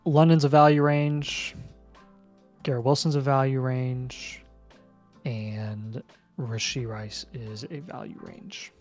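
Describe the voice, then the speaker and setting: A man co-hosting a casual livestream, giving brisk, terse advice item by item. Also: medium pitch, steady tone, darkish tone, soft background music. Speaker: co-host.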